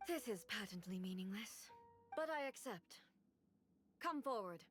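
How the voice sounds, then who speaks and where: An actress playing a stern, detached warrior ruler in a game, with formal, composed, commanding delivery. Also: female voice, mid-range voice, expressive, a little slow, faint music behind, close to an everyday voice. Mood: cool, stern. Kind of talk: English game dub acting.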